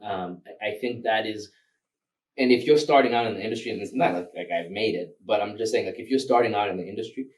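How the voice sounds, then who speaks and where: A man's voice: fairly low pitch, fast talker, distant mic, rough recording. A man from Rwanda, Kinyarwanda his first language, talking loosely as a filmmaker guest with many fillers and laughs. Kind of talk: casual interview.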